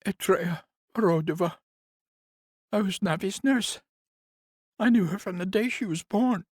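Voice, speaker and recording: A man's voice: sits high, a little slow, a little mellow, husky, no room sound, clean studio sound, voice pushed up. A man voicing a grieving woman in her fifties, an old family nurse, in a tearful, wavering voice for an audiobook. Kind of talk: audiobook character voice.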